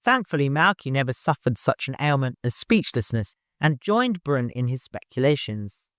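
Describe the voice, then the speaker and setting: A man narrates an audiobook with measured, literary phrasing. His mid-pitched voice sits in a very dry recording with hardly any room sound. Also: very animated, a bit bright, no room sound. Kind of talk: scripted storytelling narration.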